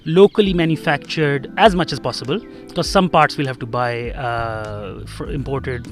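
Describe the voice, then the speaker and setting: A man's voice: mid-range voice, moderate pace. Young man from Pakistan answering interview questions. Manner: relaxed, uh and um fillers, laughs lightly. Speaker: guest. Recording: faint music underneath.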